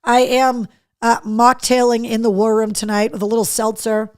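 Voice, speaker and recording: A middle-aged woman hosting a live show, chatting casually and candidly with viewers in a slightly hoarse voice, with a cheeky streak. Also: a little high, level tone, moderate pace, a little mellow, no echo, clean studio sound. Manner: candid, cheeky, mildly sweary. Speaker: podcast and livestream host. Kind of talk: casual live chat to viewers, reading out comments.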